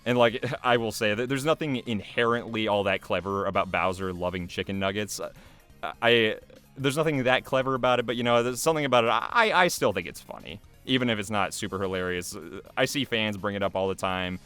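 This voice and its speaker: Low, smooth-voiced man, chatty. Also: a little fast, a bit bright. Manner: chatty, rambling, self-effacing. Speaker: video creator giving commentary. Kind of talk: casual behind-the-scenes commentary. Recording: music playing behind the voice. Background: music.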